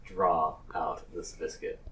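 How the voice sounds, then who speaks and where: A man acting as game master, describing scenes in an improvised tabletop game with halting, deliberate phrasing. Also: a bass voice, steady tone, bright, forceful tone.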